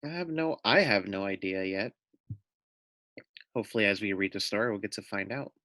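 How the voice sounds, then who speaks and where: A man's voice: on the low side, fairly quick, sharp tone, no echo, studio quality. A man reading a children's story aloud like a teacher, expressively, with asides to his listeners. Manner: addresses listeners with questions and asides.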